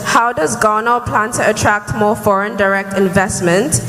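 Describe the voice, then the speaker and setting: A woman's voice: mid-range voice, moderate pace, a bit bright, soft background music. Nervous eighteen-year-old girl asking a question. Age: teens. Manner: nervous, restarting, careful. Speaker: audience member asking a question. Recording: hall reverb.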